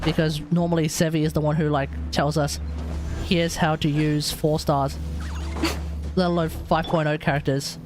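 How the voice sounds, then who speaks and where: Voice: mid-range voice, little pitch movement, moderate pace, a little mellow. A game streamer giving casual, informal and reactive live commentary in a chatty way. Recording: game music behind the voice.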